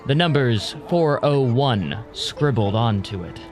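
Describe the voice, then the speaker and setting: A male storyteller narrates an audio drama at a slow, deliberate pace. His pitch sits in the middle, and music plays clearly underneath. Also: lively intonation. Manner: measured, deliberate.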